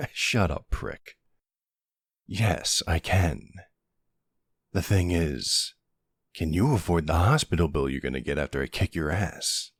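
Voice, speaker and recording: Guy doing a flirty mafia boss bf, asmr roleplay, low husky voice, teasing n cocky. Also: lively intonation, unhurried, long pauses, no echo, studio quality, exaggerated voice. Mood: gruff, bantering.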